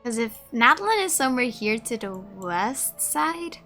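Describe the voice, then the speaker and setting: A woman's voice: on the high side, very animated, unhurried, bright, forceful tone, faint music behind. A woman doing casual let's-play commentary, reacting aloud to game dialogue. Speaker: gaming streamer.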